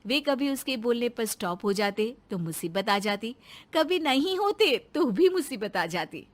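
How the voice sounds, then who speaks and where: A woman's voice: fairly high pitch, very animated, unhurried. A woman narrating a scripted cartoon story as its storyteller.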